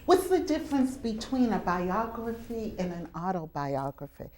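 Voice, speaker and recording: Woman, TV show host, teacherly, coaxing questions, deliberate emphatic phrasing, mid-pitched voice. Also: very animated, a little mellow, slight background noise. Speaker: TV show host leading students. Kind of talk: talk to children before an audience. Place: college venue, taped live with audience.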